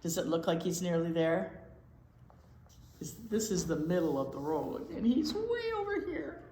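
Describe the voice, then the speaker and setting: A woman reading a picture book aloud to young children as a storytime teacher, expressive and often putting questions to them, in a roomy echoing space. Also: on the low side, normal speed, a little hiss or hum. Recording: roomy, echoing indoor sound.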